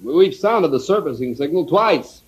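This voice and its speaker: Submarine second-in-command male actor, high voice, dutiful and anxious, crisp naval reporting, vintage radio acting. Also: expressive, moderate pace, highs cut off, close to an everyday voice. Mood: anxious, urgent. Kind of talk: old-time radio drama acting.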